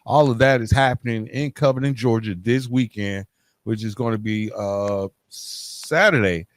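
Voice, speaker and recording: A man hosting an interview podcast, speaking in a halting way as he searches for words. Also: fairly low pitch, dry recording. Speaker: podcast host. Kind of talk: hosting an interview podcast, wrapping up with calls to action.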